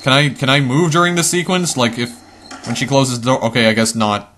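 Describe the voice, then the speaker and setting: A man commentating his game run casually, chatty and easily startled, his voice a bit hoarse. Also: mid-range voice, fairly even intonation, brisk, a bit bright, slight background noise. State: slightly hoarse, losing voice. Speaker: let's play gamer.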